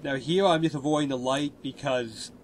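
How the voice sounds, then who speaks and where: A man's voice: mid-range voice, moderate pace, a little hiss or hum. Stuffy-nosed man explaining game tricks. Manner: relaxed, explanatory, tip-giving. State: stuffy from a cold. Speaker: gameplay commentator. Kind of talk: game walkthrough voice-over.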